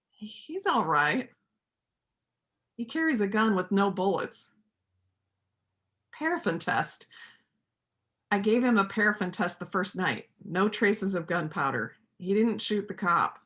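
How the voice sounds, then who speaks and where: A woman playing an earnest, determined helper of a fugitive in a reader's theater play, speaking in an insistent, deliberate way. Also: mid-range voice, fairly bright tone. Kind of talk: reader's theater stage acting.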